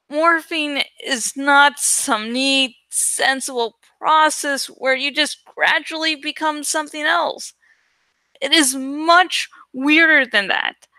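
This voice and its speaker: A woman reading a young adult novel aloud in an expressive, deliberate, dramatic way. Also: high-pitched, no room sound. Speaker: audiobook reader.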